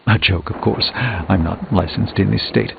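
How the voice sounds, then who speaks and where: An actor playing an erudite, dryly witty sorcerer in a video game, with pompous, theatrical, ornate delivery. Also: male voice, on the low side, normal speed, creaky, noisy background. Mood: dry, composed. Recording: muffled phone-like band, music behind.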